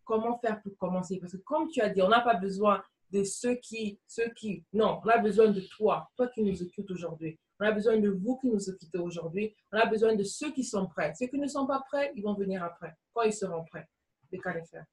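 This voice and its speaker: A woman's voice: mid-range voice, brisk, recorded from a distance. A woman from Congo talks earnestly in a conversational discussion. She repeats phrases to drive her points home. Her voice sits a little back from the mic. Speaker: entrepreneur guest.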